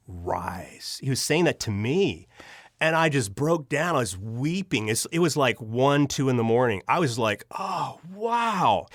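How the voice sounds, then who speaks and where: A man's voice: on the high side, no echo. Earnest man telling stories, very dry recording. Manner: earnest, halting, repeats words as he goes. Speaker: audio drama writer and producer, interview guest. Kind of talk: conversational interview storytelling.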